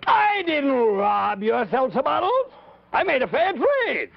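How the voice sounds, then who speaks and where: A man's voice: very high voice, wildly swooping pitch, slow, vocal fry, lo-fi sound, exaggerated voice. A man voicing a cantankerous, stubborn old marionette who stammers and sputters indignantly in a children's television puppet show. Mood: possessive, argumentative.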